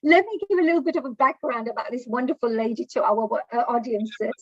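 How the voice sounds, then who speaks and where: A woman host speaks in a high, bright register, effusive and chatty. She uses plenty of fillers. The sound is dry and dull, like a lo-fi online call. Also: brisk, dry recording. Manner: warm, effusive, with many fillers. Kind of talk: livestream interview hosting.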